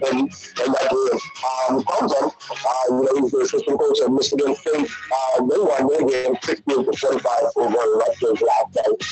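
A man's voice: mid-range voice, low-quality recording. A man from the Bahamas co-hosting a morning sports radio show, reading scores with many fillers over a video call. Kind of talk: radio sports news rundown. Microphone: headphones on a video call.

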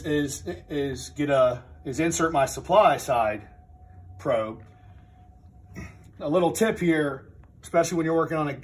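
A middle-aged man teaching HVAC in a training room, explaining step by step with plain, methodical talk and frequent restarts. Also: on the high side. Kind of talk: hands-on technical demonstration. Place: indoor training room with a furnace.

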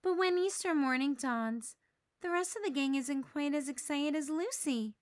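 A woman reading a children's story aloud in an animated, dramatic way. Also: sits high, normal speed, no room sound, studio quality. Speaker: storybook reader. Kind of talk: expressive children's read-aloud narration.

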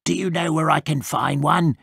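Woman acting a small young storybook wolf cub, male, polite, eager, creaky, bright edge, unhurried. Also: low voice, no room sound, clean studio sound, voice pushed down, heavily acted. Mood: polite, naive. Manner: polite, guileless, apologetic.

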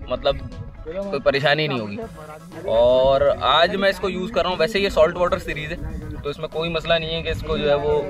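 A man in his thirties gives a relaxed, chatty fishing-gear review outdoors on a lakeshore. His voice sits a little high for a man and is picked up by a camera a few feet away. Music plays clearly underneath. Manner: relaxed, chatty, talks while handling gear. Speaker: fishing vlogger. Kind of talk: casual outdoor product review. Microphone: camera on a tripod a few feet away.

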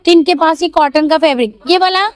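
Brief: high, dry-sounding female shopping vlogger, chatty, repeats prices, outdoor market. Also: a little fast, no echo. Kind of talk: market walkthrough vlog.

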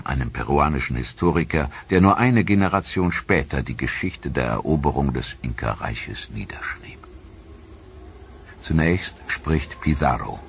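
A man narrating a history documentary in a dramatic, measured storytelling manner. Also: rumbling low, a bit bright, slight background noise.

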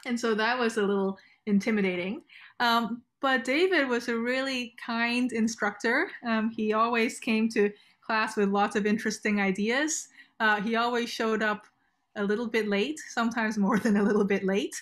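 A woman's voice: fairly high pitch, steady tone, normal speed, fairly bright tone. A woman academic from Hong Kong and Canada, talking informally on a panel video call from home. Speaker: music researcher and professor. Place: home room with bookshelves.

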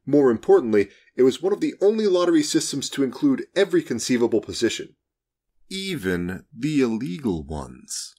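A man reads a scripted short story in a wry, expressive way. His mid-pitched voice moves up and down a great deal as he tells it. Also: normal speed. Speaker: audiobook narrator.

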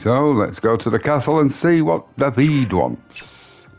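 A man's voice: medium pitch, lively intonation, a little slow, darkish tone, faint music behind. A man giving casual commentary as host of a gameplay video.

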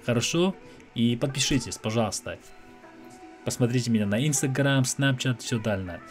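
A young man from England, a native English speaker with an English accent, giving casual reaction commentary in an animated, slangy way with English interjections. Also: medium pitch, normal speed, soft background music. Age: young adult. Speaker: reaction video host. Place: small room at home. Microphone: desk microphone close in front, headphones on.

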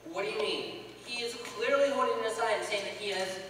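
Young guy acting confused ghost husband in a stage comedy, high voice, halting, recorded far off in a hall. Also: pushed and bright. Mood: incredulous. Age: young adult. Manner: halting, incredulous. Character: bewildered, newly dead husband, now a ghost. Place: theater stage, recorded from the audience. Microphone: far camera. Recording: echoey theater hall, distant mic, faint noise.

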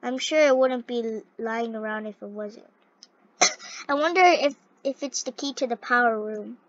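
A young female gamer with a scratchy voice, commenting on a game and reading its dialogue aloud in an excitable, halting way. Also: a little high. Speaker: gaming video host. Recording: dull, lo-fi close microphone.

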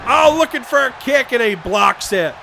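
A man losing his voice, shouting excited play-by-play for a wrestling video game. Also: very high-pitched, normal speed, vocal fry. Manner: shouting excitedly over the action. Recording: game crowd noise behind voice. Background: video game arena crowd and sound effects.

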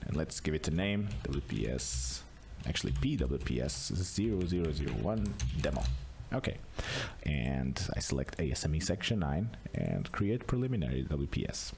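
A man narrating an unscripted screencast tutorial as a software demonstrator, explaining casually step by step. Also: extremely low pitch, sing-song, normal speed, gravelly, slight background noise.

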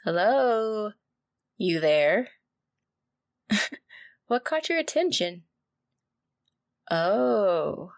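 Woman as a lowish-voiced, teasing demon student. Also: dry recording, studio quality, full character voice. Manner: casual, wry, teasing. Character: sardonic young female demon student, teasing and protective. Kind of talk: ASMR audio roleplay acting.

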